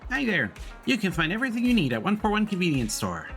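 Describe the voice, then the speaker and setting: A man voicing a small, eager, chirpy video game shop helper creature with a bright sales-pitch delivery. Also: big pitch swings, fast, faint music behind, exaggerated voice.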